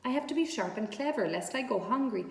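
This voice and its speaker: A woman's voice: fairly high pitch, lively intonation, quick pace, creaky, reverberant, recorded from a distance, pitched above the performer's own voice. A woman voicing a poised, frank, proud female spider in a storybook, thin and pleasant, precise and matter-of-fact. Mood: frank, firm.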